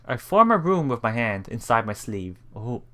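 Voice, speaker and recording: A man's voice: mid-range voice, big pitch swings, normal speed, a bit bright. A man hosting a let's play, reading visual novel text aloud and adding casual, reactive asides. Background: game music.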